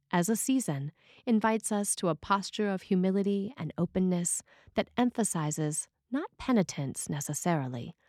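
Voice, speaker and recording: A woman's voice: medium pitch, warm tone, dry recording, studio quality. A woman reading a written sermon as a preacher, in a scripted style.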